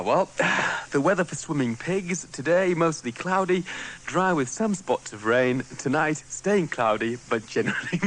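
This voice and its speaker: A man's voice: on the high side, fairly bright tone. A man reading a radio news and weather bulletin. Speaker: radio newsreader. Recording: faintly noisy archive radio recording.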